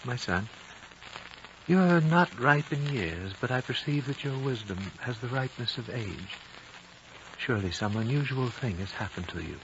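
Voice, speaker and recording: An actor playing an ancient, wise and dying high lama in a 1950s radio drama, solemn, fatherly and measured. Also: animated, close to an everyday voice. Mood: solemn, tender. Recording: dull, noisy old radio recording.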